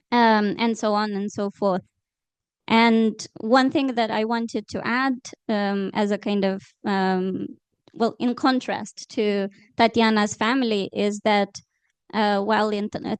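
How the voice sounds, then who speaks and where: A woman, a Romanian speaker, speaks as a journalist on a panel in a measured, thoughtful way. Her voice is smooth and clear at a mid pitch. The recording is dry. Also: no room sound. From Moldova. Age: 30s. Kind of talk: panel discussion.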